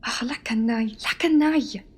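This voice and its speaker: A woman's voice: a little high, moderate pace, husky. A woman playing a proud, defiant young Frenchwoman in an amateur radio play, blunt and indignant. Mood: furious.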